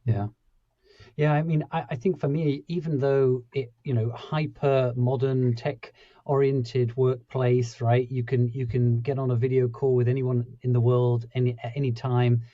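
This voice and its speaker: A man's voice: mid-range voice, level tone, measured pace, dry recording. A man hosting a podcast interview, thinking aloud with many restarts and fillers. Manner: rambling, full of restarts and fillers. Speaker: podcast host. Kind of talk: conversational interview. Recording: dull, dry lo-fi audio.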